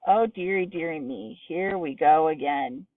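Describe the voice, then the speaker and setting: Calm whimsical eccentric man, read by a woman. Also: high-pitched, brisk, dry recording, voice pushed up. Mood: weary. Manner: soft murmuring. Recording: distant tinny phone-like sound.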